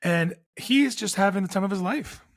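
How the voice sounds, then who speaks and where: Male podcast host, from Canada, chatty storyteller, a little higher-pitched. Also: animated, moderate pace, vocal fry. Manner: chatty storyteller, frequent restarts. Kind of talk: casual interview chat.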